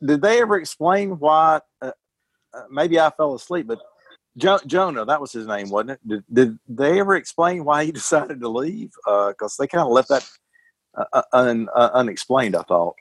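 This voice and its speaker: A man's voice: medium pitch, lively intonation, normal speed, dry recording. A man chatting casually about a book, hesitantly and with many stammered repetitions. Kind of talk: casual book club discussion.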